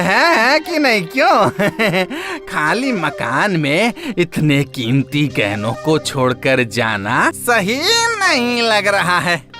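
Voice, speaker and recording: A woman's voice: mid-range voice, fairly bright tone, heavily acted. A woman voicing a greedy, sly male shopkeeper in a cartoon moral story, muttering and repeating words in a wheedling way. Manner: drawn-out, repetitive muttering with sly chuckles.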